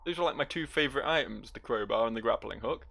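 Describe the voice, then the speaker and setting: Gravelly, joking male gamer. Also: mid-range voice, expressive, fast, pushed and bright. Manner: joking, sarcastic. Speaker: gaming video host. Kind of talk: casual gameplay commentary.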